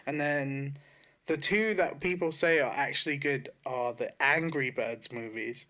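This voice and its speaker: A man's voice: mid-range voice, unhurried, pushed and bright. A man co-hosting a podcast in casual banter, blunt and sardonic, with plenty of swearing. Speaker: podcast co-host. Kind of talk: casual banter about games and films.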